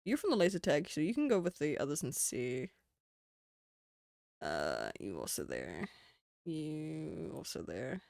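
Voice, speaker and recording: Gravelly Kiwi streamer, lively voice. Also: medium pitch, unhurried, pauses often, no room sound, clean studio sound. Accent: New Zealand. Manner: rambling and swearing, with frequent laughs. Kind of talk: casual live-stream chat.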